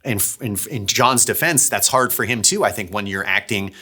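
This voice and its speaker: A man's voice: fairly low pitch, normal speed, sharp tone, slightly rough. A man hosting a behind-the-scenes series, explaining his production work in a casual, conversational way. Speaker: audio drama director and series host.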